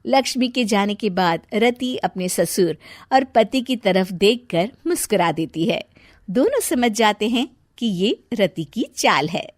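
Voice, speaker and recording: Animated woman storyteller, dry studio sound. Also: medium pitch, a little slow, no room sound. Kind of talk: scripted story narration, expressive.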